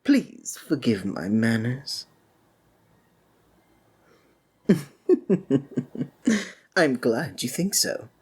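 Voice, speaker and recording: A woman playing a flamboyant, courtly and sinister stage magician in a theatrical ASMR roleplay, with flowery, drawn-out, flattering phrasing. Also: a bass voice, husky, exaggerated voice. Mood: genial, courtly.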